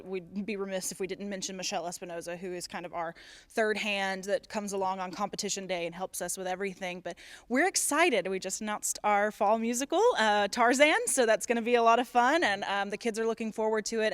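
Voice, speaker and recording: A woman's voice: mid-range voice, fairly quick, clean tone, dry recording. A woman speaking enthusiastically as a school theatre director giving interview answers.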